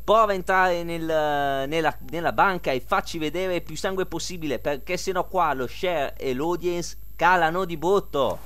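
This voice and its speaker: A man's voice: fairly high pitch, moderate pace, hardly any pauses, a bit bright, lightly acted. A man voicing a cheerful, callous tiger puppet who anchors a parody TV news show in a mock-professional, gleefully tasteless way. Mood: eager.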